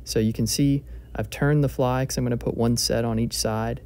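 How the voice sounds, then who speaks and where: A man narrates a hands-on craft tutorial in a calm, methodical way. His voice is mellow and dark, with very little rise and fall. He sounds relaxed and plainspoken as he explains each step. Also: mid-range voice, normal speed. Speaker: fly-tying instructor. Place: home fly-tying bench.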